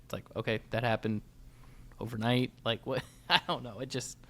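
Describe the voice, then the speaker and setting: A man's voice: mid-range voice, fairly even intonation, moderate pace, fairly bright tone. A man with an ordinary mid-range voice co-hosts a motorsports podcast. He talks casually and conversationally, offering opinionated analysis in the manner of sports chat.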